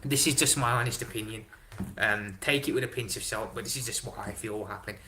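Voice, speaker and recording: A young man hosting a casual live stream, reading chat aloud and answering in an excitable way, swearing and dropping slang. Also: fairly low pitch, fairly quick, a little hiss or hum. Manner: excitable, swears, London slang like innit and big up. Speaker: livestream host reading chat.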